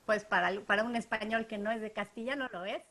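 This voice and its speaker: A woman's voice: mid-range voice, fairly quick, bright, forceful tone. A Mexican woman with a Mexican Spanish accent, hosting an interview in a chatty way with many tag questions. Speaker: interview host. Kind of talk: conversational interview.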